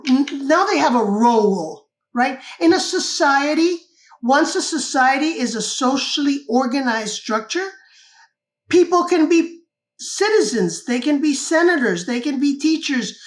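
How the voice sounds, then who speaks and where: An older woman from Cuba, a guest author explaining ideas in an interview and often checking in with "correct?". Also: high-pitched.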